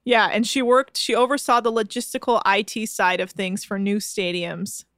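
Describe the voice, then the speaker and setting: A woman's voice: medium pitch, steady tone, moderate pace, fairly bright tone, dry recording, clean studio sound. A woman co-hosting a casual podcast chat, reading posts aloud with amused disbelief. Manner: amused, incredulous, laughing. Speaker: podcast co-host.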